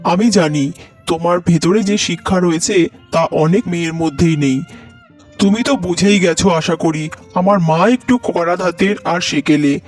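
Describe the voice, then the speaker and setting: A man's voice: high voice, moderate pace, faint music behind, close to an everyday voice. A man voicing a stern young husband in a cartoon, speaking in a firm, admonishing way. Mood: placating, firm. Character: stern young husband siding with his mother. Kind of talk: cartoon voice acting.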